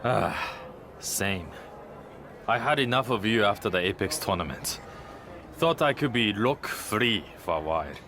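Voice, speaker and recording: A man's voice: lowish voice, expressive, measured pace, sharp tone, a little hiss or hum, heavily acted. A man playing a cocky, snide young wrestler and social media show-off in game cutscene dialogue.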